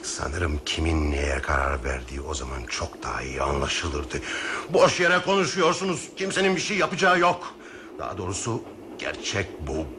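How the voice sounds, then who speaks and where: Deep-voiced, sardonic fatalistic man. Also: big pitch swings, normal speed, background noise, heavily acted. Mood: scornful, mocking. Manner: sardonic, philosophising. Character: cynical, mocking male train passenger, bitterly fatalistic. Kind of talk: radio theatre acting.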